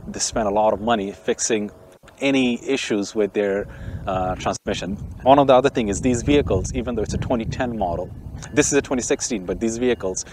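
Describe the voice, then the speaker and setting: Chatty man from Bangladesh reviewing cars outdoors. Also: on the low side. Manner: chatty, joking, opinionated. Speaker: car channel host. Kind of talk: casual car review vlog. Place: outdoors by a vehicle, windy. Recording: faint wind and outdoor noise. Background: wind and outdoor noise.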